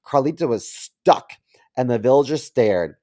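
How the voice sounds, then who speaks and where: Male podcast co-host, mid-pitched voice. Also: lively intonation, normal speed, dark tone. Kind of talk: reading a comic story aloud, casual chat.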